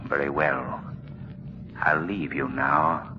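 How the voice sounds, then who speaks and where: An actor playing a calm, commanding, menacing invisible vigilante in an old radio drama, speaking with ominous deliberation. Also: male voice, extremely low pitch, takes it slowly. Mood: calm menace. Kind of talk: 1940s radio acting. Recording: muffled, noisy 1940s radio recording.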